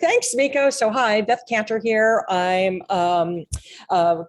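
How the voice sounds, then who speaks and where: A woman's voice: medium pitch, slow pace. An older woman speaking as a guest trainer on a virtual conference session, chatty and friendly, laughing often. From Atlantic City area, United States. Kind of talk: casual conference chat. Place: home on video call.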